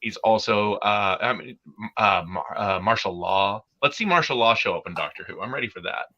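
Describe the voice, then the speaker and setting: A man with a lowish, bright voice rambling on a casual panel. He drifts through nerdy tangents with many restarts and knows few will follow. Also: moderate pace, dull audio. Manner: rambling, nerdy asides, self-aware. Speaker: podcast panelist. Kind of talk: casual group chat.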